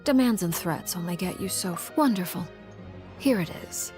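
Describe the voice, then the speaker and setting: A calculating female leader in a video game, played by a woman, speaks in a fairly low voice with composed, formal authority. Her delivery is measured and faintly condescending. Soft music runs behind her. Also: expressive, lightly acted. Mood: composed, commanding. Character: commanding, cool, calculating adult female leader. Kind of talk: video game character dialogue.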